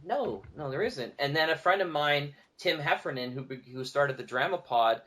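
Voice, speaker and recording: A man talking casually as an interview guest, telling stories in an animated way and acting out bits of dialogue. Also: fairly high pitch, normal speed. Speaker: podcast guest, audio drama producer and show host.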